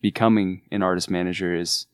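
A man's voice: deep voice, fairly even intonation, brisk, clear, resonant tone, dry recording, studio quality. A man from California with a laid-back surfer accent, chatting earnestly on a podcast as an artist manager. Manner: earnest, enthusiastic, with frequent restarts. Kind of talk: casual podcast chat.

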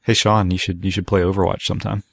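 A man's voice: low voice, level tone, quick pace, vocal fry, dry recording, studio quality. A man hosting a podcast, steering a casual conversation among several people. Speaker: podcast host steering the discussion. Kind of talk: casual chat.

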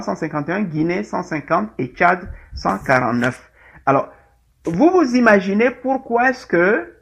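A man's voice: high voice, creaky, a bit bright, slightly rough. A middle-aged man from Togo, giving a presentation in a hesitant way with many fillers and restarts. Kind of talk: presentation talk. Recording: lo-fi with dull sound.

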